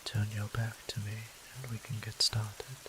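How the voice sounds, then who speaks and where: A man playing a gentle, teasing vampire priest in an intimate audio roleplay, speaking softly and breathily to the listener in courteous, old-fashioned phrasing. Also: on the low side, little pitch movement, measured pace, lightly acted. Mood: warm, reassuring. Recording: clear hiss and rain sound behind.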